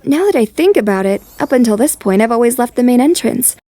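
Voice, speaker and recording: A woman's voice: a little high, lively intonation, fast talker, dark tone, no room sound, close to an everyday voice. A woman playing a composed, determined young noblewoman in an anime dub, speaking politely and reflectively. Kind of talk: anime dub acting with inner narration.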